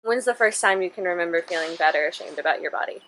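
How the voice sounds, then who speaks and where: A woman conducting an interview in a gentle, reassuring way. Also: fairly low pitch, fast, slight background noise, poor audio.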